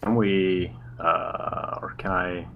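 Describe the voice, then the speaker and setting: A man's voice: low voice, steady tone, slow pace, creaky, phone-quality sound. A man chatting casually as a tabletop game player, hesitant and hedging as he talks. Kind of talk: casual chat. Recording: faint background noise.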